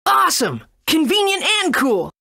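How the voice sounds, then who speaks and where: A woman's voice: extremely high pitch, wildly swooping pitch, a little slow, creaky, sharp tone, heavily acted. A woman voicing an eager, wide-eyed young boy hero in a video game, enthusiastic and adventurous. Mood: excited.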